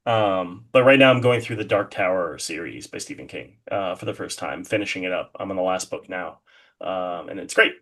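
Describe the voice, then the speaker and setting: A man's voice: sits low, moderate pace, a bit bright. A man speaking casually and enthusiastically as a guest in a conversational interview. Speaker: interview guest, horror artist.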